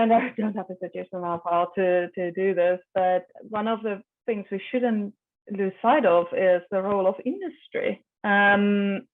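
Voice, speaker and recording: A woman speaks as a panelist over a video call, and the audio sounds tinny and phone-like. She thinks aloud and often stops to restart her sentences. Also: medium pitch. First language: Afrikaans. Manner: thinking aloud, hesitant with repeated starts.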